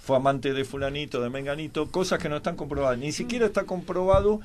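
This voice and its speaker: A man's voice: on the high side, quick pace. An Argentine man giving conversational historical commentary as a programme panelist, with discursive, hesitant phrasing.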